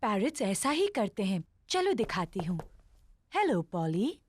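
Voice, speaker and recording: A woman's voice: mid-range voice, sing-song, a little slow, a little hiss or hum. A woman voicing a kindly, playful mummy pig in a children's cartoon dub.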